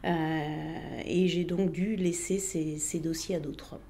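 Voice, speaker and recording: A woman's voice: deep voice, steady tone, takes it slowly, warm tone, a little hiss or hum. A woman answering interview questions as a local elected official, reflective and personal in how she explains herself.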